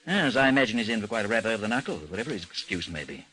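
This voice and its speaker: Composed detective chief, 1950s-style radio drama. Lowish voice, dry, formal, measured narration. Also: male voice, big pitch swings, bright, forceful tone, low-quality recording. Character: composed, dry British chief of a missing persons bureau, narrating his case. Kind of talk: old-time radio drama acting and narration.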